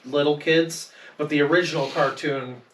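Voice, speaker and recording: A man's voice: fairly high pitch, steady tone, moderate pace, fairly bright tone. Roomy, distant-miked man chatting with enthusiasm. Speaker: podcast panelist. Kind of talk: casual panel discussion of films. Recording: roomy echo, distant mic, faint noise.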